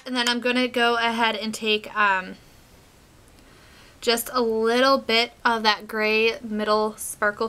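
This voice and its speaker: A young woman talks through her makeup routine in a casual, chatty way, filling pauses with "um" and "like". Her tone is bright and a little edgy, and her voice is worn from a cold. She sits close to a webcam in a small bedroom. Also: medium pitch, gravelly. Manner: chatty, relaxed, many fillers like "um" and "like". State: getting over a cold, voice going in and out. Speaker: beauty vlogger.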